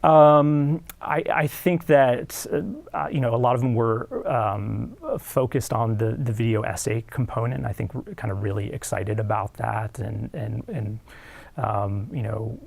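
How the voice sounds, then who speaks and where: A man's voice: mid-range voice, fairly even intonation, a little slow, gravelly, darkish tone, no echo. A man, a film studies professor in his thirties, answering interview questions in a hesitant way with many fillers and restarts. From United States. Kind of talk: academic interview.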